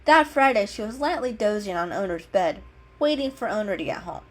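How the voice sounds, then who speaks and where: Animated woman reading a story dramatically. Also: mid-range voice, fairly quick.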